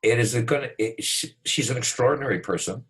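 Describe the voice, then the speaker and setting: A man hosting an interview show, giving an expansive, flattering introduction and often repeating himself. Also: medium pitch, fast. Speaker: talk show host. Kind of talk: casual, effusive interview introduction.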